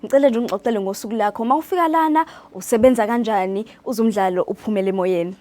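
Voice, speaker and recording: A woman's voice: a little high, very fast, mellow. A woman with a South African accent hosting an informal interview, asking questions. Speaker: interviewer and host. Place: indoor radio studio.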